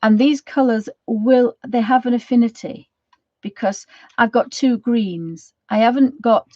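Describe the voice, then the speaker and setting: A woman with a croaky voice from a cold, chatting casually as she gives a painting tutorial and rambling with many ums. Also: fairly high pitch, unhurried, a little mellow, no echo. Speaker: art channel host. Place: home studio. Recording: dry, slightly dull sound.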